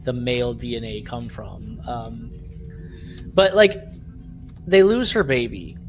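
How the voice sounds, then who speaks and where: A man's voice: medium pitch, takes it slowly, faint music behind. A man hosting a podcast, recapping a story in a rambling casual way and often checking in with "right?". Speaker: podcast host. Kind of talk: casual chat recapping an audio drama. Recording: clear background noise.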